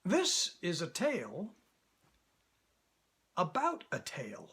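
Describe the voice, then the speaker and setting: A man reading a children's story as an actor, in expressive, deliberate storybook narration. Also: sits high, breathy edge.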